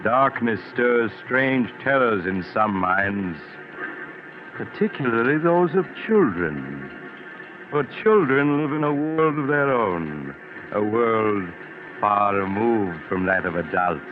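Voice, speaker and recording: A deep-voiced, ominous mystery host narrates in deliberate, confiding 1940s radio style. Music runs behind him on a muffled old recording. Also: male voice, big pitch swings. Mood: ominous, inviting. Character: sinister male mystery host turned retired doctor storyteller.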